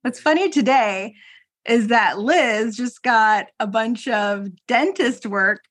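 A woman's voice: fairly high pitch, slow, a bit bright. A woman co-hosting a podcast in a casual chat, talking freely with fillers, restarts and frequent laughs. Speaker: podcast co-host.

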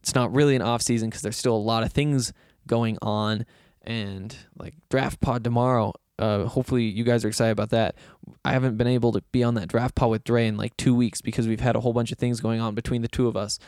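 A young man hosting a sports podcast, rambling casually with lots of fillers, his voice a bit raspy and hoarse from yelling. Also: medium pitch, steady tone, fairly quick, no echo, clean studio sound. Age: young adult. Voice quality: slightly raspy. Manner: loose, self-deprecating, meandering with fillers.

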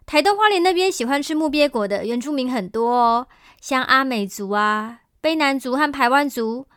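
High-voiced friendly shop girl, acted by a woman. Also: lively intonation, moderate pace, clear, resonant tone, dry recording, studio quality. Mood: friendly, helpful. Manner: gentle, encouraging, explaining to a child. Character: friendly, patient young female health-food shop clerk. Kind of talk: amateur radio-drama acting.

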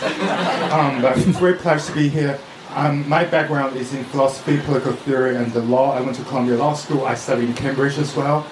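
A man from China asking a long question from the audience at a live event, repeating words as he forms it. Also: medium pitch. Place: indoor live event venue. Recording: distant, echoey venue sound with clear noise.

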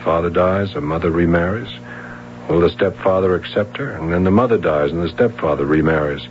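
An actor playing a probing, blunt yet courteous police detective sergeant with an American accent in a radio drama. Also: male voice, sits low, brisk, close to an everyday voice. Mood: gentle, knowing. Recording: dull, hissy, humming vintage radio broadcast.

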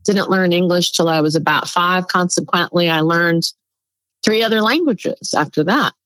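A middle-aged woman, raised speaking Spanish, chats through her stories as an interview guest. Her voice sits on the lower side and is recorded dry and close. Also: moderate pace, no echo, poor audio. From New York City. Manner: chatty storyteller, lively laughs. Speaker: guest psychic. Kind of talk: casual interview chat.

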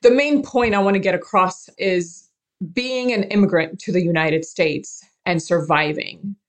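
A woman's voice: on the low side, measured pace. A woman from Pakistan speaking earnestly and emphatically as an interview guest, repeating key words for stress. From born in Karachi, Pakistan. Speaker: interview guest, forced-marriage survivor and advocate.

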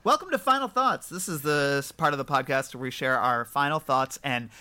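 A man's voice: fairly high pitch, lively intonation, fairly quick, pushed and bright, smooth. A man hosting a casual film discussion podcast with an enthusiastic manner. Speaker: podcast host.

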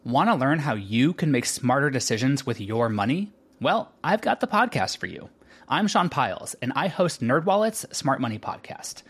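A man's voice: medium pitch, sing-song, normal speed, breathy edge. A man who hosts a podcast, reading a scripted promo for his show.

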